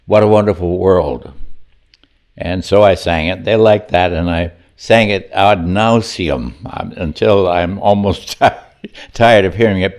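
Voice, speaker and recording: Low-voiced older man recounting stories. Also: a little mellow. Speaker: chorus member. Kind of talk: documentary interview.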